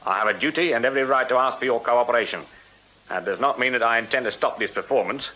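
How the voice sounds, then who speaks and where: An English actor playing a shrewd, stern Victorian police sergeant in a radio drama, dry and authoritative in manner. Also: male voice, mid-range voice, fast, pushed and bright, natural voice. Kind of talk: British radio drama acting. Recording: dull radio drama sound with noise.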